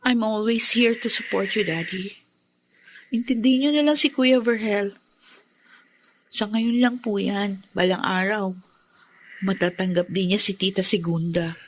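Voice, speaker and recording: An actress playing a dutiful, soothing young adult daughter who keeps the peace in a Filipino radio drama. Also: female voice, medium pitch, steady tone, measured pace, long pauses, slight background noise, natural voice, poor audio. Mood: soothing, supportive.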